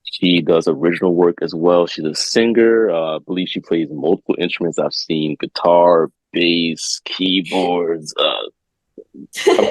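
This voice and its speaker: A man's voice: low voice, a little mellow, dry recording, rough recording. A man hosting a casual video-call interview, upbeat and chatty with lots of fillers. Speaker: host.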